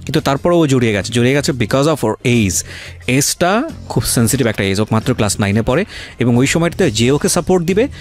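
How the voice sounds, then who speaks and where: A man with a Bangladeshi accent hosting a radio show, questioning a guest and explaining points to listeners, repeating himself and mixing in English. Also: mid-range voice. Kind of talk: interviewing and commenting to listeners.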